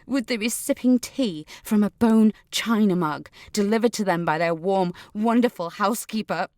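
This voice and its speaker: A woman's voice: medium pitch, moderate pace, a little mellow, no room sound, studio quality, lightly acted. An actress playing a haughty, defensive upper-class woman delivering a pompous, precisely worded monologue in an audio drama. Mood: indignant, haughty. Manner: posh, pompous, precise formal diction. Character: haughty, defensive upper-class adult alumna named Katrina. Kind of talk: dramatic monologue in a fiction podcast.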